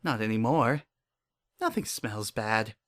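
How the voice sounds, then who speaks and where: A man's voice: on the low side, lively intonation, takes it slowly. A man playing a paranoid, obsessive father in a dramatic horror fan fiction reading, with an intense, brooding and repetitive way of talking. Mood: distressed, defensive. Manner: intense, repetitive, brooding, swinging between hushed and frantic. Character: paranoid, obsessive, unhinged father protecting his pony daughter.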